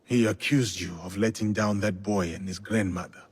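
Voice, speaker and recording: An actor playing a stern, principled samurai warrior in a video game, speaking in a measured, firm, husky voice. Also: male voice, on the low side, fairly even intonation, dry recording. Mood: resolute, calm. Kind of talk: dramatic video game acting.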